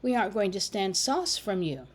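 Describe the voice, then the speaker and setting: A brash young boy, as a woman narrator puts him on, bold and blustering. He sounds quick to anger and eager to stand up for his friend, in a storybook reading. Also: medium pitch, sing-song, husky. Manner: valiant, blustering.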